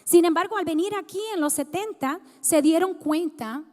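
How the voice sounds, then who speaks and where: A woman's voice: very high-pitched, moderate pace, darkish tone. A woman in her thirties from the Dominican Republic, sharing her personal testimony as a guest speaker in a church, talking conversationally and putting questions to the congregation. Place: church sanctuary. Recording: long hall reverb.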